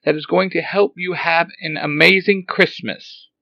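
A man voicing an excitable, fussy little male robot helper. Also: a little high, a little slow, vocal fry, dry recording, lo-fi sound, pitched above the performer's own voice, full character voice. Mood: excited.

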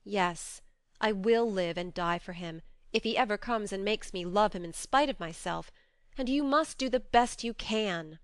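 A woman's voice: medium pitch, unhurried, no echo, studio quality, close to an everyday voice. A woman voicing a frank, resolute but tender young woman in an audiobook, earnest, imploring and firm. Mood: losing patience. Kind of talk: audiobook character voice.

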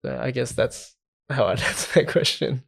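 Creaky-voiced man chatting candidly. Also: mid-range voice, normal speed, a bit bright. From Australia. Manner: informal, candid, frequently checks with "right" and "like". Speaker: podcast participant. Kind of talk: casual chat.

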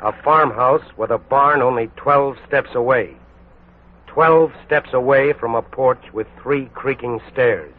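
A man's voice: medium pitch, measured pace, narrow, tinny audio, background noise. A man narrating a 1940s radio crime drama in a dramatic, clipped manner. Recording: thin 1940s radio recording. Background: faint music.

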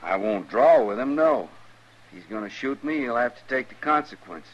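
An actor playing an evasive, unruffled miner passing through town, measured and plain-spoken, in a 1950s radio western. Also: male voice, medium pitch, lively intonation, natural voice. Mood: controlled, compliant. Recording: muffled, faintly hissy old radio recording.

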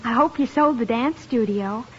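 An American actress playing a shy, gentle, soft-spoken young wife in a 1950s radio comedy, speaking tentatively. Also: female voice, fairly high pitch, moderate pace, close to an everyday voice. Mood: tender. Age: young adult. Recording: noisy, muffled 1950s radio recording.

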